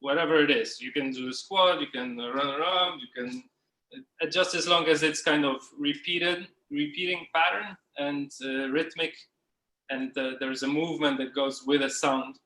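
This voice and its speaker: A man's voice: fairly high pitch, steady tone, normal speed, pushed and bright, reverberant, low-quality recording. A man leading a group workshop, giving casual instructions in a loose, informal way, as if thinking aloud. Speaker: workshop facilitator. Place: indoors, on a group video call.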